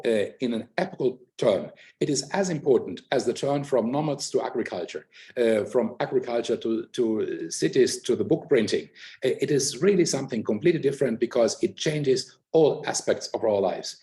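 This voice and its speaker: An older man talks through his ideas in a thoughtful, explanatory way. He pauses often with fillers. His voice is mellow, at a middle pitch for a man. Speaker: academic guest in a conversation. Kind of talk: reflective conversational talk.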